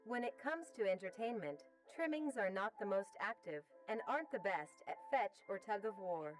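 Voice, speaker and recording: A woman playing a calm, informative narrator of a pet-care guide, speaking in a measured, instructional way. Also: medium pitch, far from the mic, faint music behind, close to an everyday voice, poor audio.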